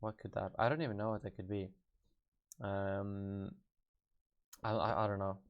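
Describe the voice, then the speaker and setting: A man's voice: on the low side, flat delivery, moderate pace, muffled recording. Hesitant young Swedish man. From Sweden. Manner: thinks aloud, hesitant and offhand. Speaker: gaming YouTuber. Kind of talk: live-stream Q&A, reading viewer questions aloud.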